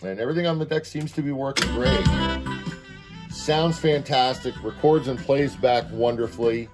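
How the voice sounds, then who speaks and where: A man's voice: medium pitch, normal speed, over music. A man presenting a casual, unscripted product demonstration, talking as he goes. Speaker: presenter demonstrating audio equipment. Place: indoor room at home, beside a tape deck.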